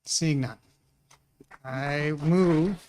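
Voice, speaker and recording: Man, slightly high-pitched, as a formal meeting chair delivering short procedural announcements. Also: extremely slow, a little mellow, slight background noise. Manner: procedural, short formulaic calls.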